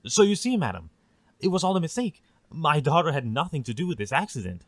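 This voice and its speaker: A man's voice: fairly high pitch, big pitch swings, fairly quick. A man playing a humble, anxious middle-aged father pony in an audio drama, deferential and tender. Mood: humble, grateful. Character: humble, gentle, anxious father stallion violinist.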